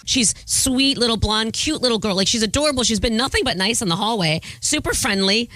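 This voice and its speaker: A woman's voice: a little high, expressive, fairly quick, sharp tone, no room sound. A woman radio personality chatting in unscripted on-air banter.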